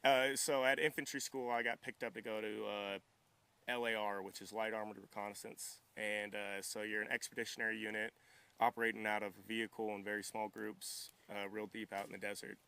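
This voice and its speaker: Bright, clear, slightly low-voiced young man. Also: level tone, brisk. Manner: polite, modest, easygoing. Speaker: interview guest, military veteran and welder. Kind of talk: casual interview answers.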